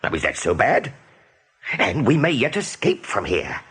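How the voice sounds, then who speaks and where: An actor playing a steady, kindly rabbit major in a radio play, measured and resolute. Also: male voice, mid-range voice, slightly rough, heavily acted. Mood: quietly defensive.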